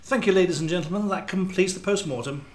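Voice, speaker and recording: A man playing a coroner in a radio drama, delivering findings in a measured, official way in an echoey room. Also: sits high, lightly acted. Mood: formal, regretful. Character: formal coroner delivering post-mortem findings.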